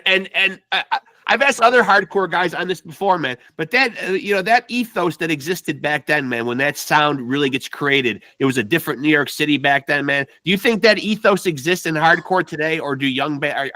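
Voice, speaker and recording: A laid-back male podcast host, somewhat high in pitch, with a bright, forceful edge to his voice. He leans on "man" again and again as he asks his questions. The sound is close and dry. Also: dry recording. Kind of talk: casual interview chat.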